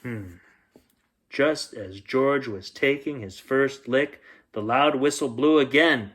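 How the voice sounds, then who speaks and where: A man reading a children's bedtime story aloud, expressively, with dramatic emphasis and pauses. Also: mid-range voice, fairly bright tone.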